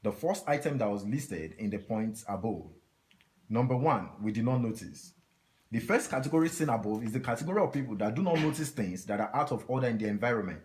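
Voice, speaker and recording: A man reads a written passage aloud at an even pace. His voice sits in a middle pitch, plain and measured, like someone working through a text for listeners nearby. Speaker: reader of a book passage. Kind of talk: reading text aloud in a group discussion.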